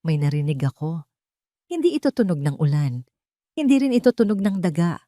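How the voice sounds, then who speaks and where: A woman with a Filipino accent narrating a scripted first-person story in a measured, dramatic manner. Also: lowish voice, big pitch swings, dark tone, no room sound, studio quality.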